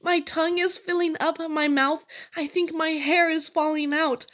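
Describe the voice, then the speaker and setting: A woman's voice: very high-pitched, level tone, moderate pace, a bit bright, pitched above the performer's own voice. A woman voicing a little girl who whines melodramatically about being sick, in a children's poem reading. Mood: whiny, pitiful. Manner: melodramatic complaining. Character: whiny dramatic little girl faking endless illnesses.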